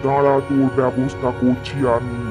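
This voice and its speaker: A man's voice: mid-range voice, flat delivery, normal speed, lightly acted. A man voicing a protective, stern village fakir in a children's cartoon, speaking in an authoritative, declamatory way. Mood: threatening. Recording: music behind the voice.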